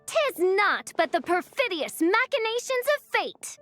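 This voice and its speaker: A woman's voice: extremely high pitch, sing-song, a little slow, a bit bright, soft background music, full character voice. A woman playing a theatrical teenage girl in a video game who poses as a princess and declaims in grand, archaic phrases. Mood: grandiose, haughty.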